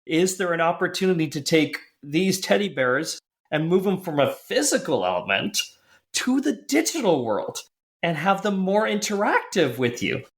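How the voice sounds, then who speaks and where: A man's voice: high voice, very animated, normal speed. A man co-hosting a podcast, chatty and playful, with a somewhat rambling way of asking questions. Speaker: co-host.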